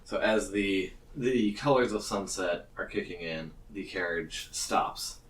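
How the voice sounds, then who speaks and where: A man casually improvising narration as a tabletop game master, pausing to search for words. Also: low-pitched, distant mic.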